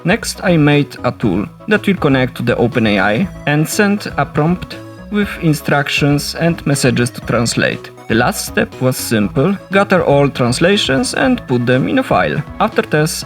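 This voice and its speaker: A man with a Polish accent narrates a game development log in a steady, explanatory voice at a mid pitch. Soft music plays faintly behind him. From Poland. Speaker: solo indie game developer. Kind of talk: scripted devlog narration.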